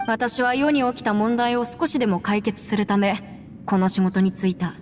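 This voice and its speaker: A woman playing a thoughtful, upright legal adviser in a video game, speaking calmly and formally. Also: medium pitch, moderate pace, big-room sound, faint music behind, lightly acted. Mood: earnest, reflective. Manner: calm, formal, earnest.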